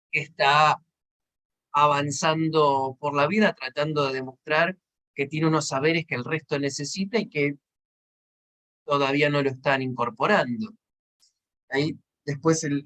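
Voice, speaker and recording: A man's voice: a little high, a bit bright. A man with an Argentine accent teaching a seminar informally, explaining conversationally and checking in with short tag questions. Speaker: seminar instructor, consultant.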